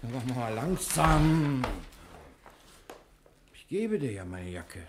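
An actor playing a genial, quick-witted fugitive rogue in a radio comedy drama, jaunty, chatty and bossy. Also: male voice, medium pitch, sing-song, crowd noise. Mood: unruffled, bossy.

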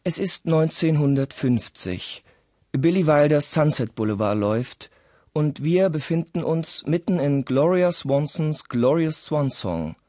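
A man narrating a scripted radio play with deliberate, dramatic storytelling. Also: fairly high pitch, dark tone, dry recording, clean studio sound.

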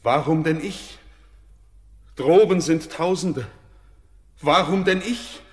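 A young actor playing an orphaned sailor, torn between pleading and dread, in a classic radio play. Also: male voice, a little high, slow, breathy edge. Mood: anxious.

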